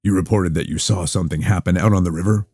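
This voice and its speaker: A tired, skeptical male detective, read by a male narrator, asking blunt, matter-of-fact questions. His voice is low and husky. The sound is dry and close-miked. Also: fairly even intonation, fast talker, darkish tone, dry recording. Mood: questioning.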